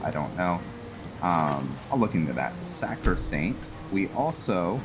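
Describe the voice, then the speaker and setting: A man's voice: low-pitched, rough recording. A man hosting a gaming video with casual roleplay commentary, thinking aloud as he goes. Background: music.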